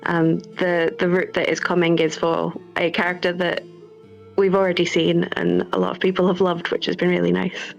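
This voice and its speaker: A woman's voice: fairly low pitch, completely flat delivery, moderate pace, vocal fry. A woman chatting casually as a guest developer, hesitant and rambling in a modest way. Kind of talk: casual interview chat. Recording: slightly dull online call, music behind.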